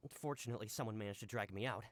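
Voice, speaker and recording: A man's voice: on the low side, racing, slight background noise, natural voice. A man voicing an eager, flustered male guard in a video game, earnest and apologetic in how he talks. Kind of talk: game dialogue. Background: game music.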